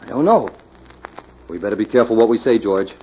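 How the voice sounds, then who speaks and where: A man's voice: fairly low pitch, animated, fast, lightly acted. A man playing a grumbling, wary smuggler's henchman in a 1940s radio drama. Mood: wary, cornered. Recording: muffled old radio recording with noise.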